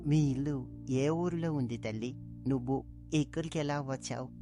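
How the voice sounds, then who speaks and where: Actor voicing a kindly elder, low-key, music behind. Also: normal speed, dark tone, natural voice. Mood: tender. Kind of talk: cartoon moral story dialogue.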